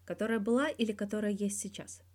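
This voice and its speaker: A woman's voice: medium pitch, steady tone, fast, darkish tone, voice pushed up, close to an everyday voice. A woman voicing a candid, wry young male star athlete giving a guarded but blunt interview in a story. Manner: guarded yet blunt, dryly teasing, swears freely.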